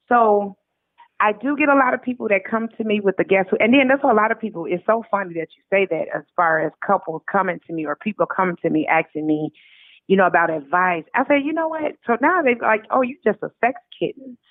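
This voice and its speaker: A woman's voice: medium pitch, brisk, dry recording. A woman guest speaking casually and emphatically over the telephone in an interview, repeating her points and acting out quoted remarks. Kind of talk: casual conversational interview answers. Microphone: telephone call. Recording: tinny narrow phone line.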